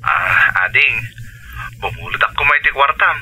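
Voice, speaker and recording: A man's voice: on the low side, sing-song, unhurried, bright, forceful tone, a little hiss or hum, full character voice, rough recording. A man playing a pushy, demanding older brother in a radio drama, wheedling and insistent, with an Ilocano accent.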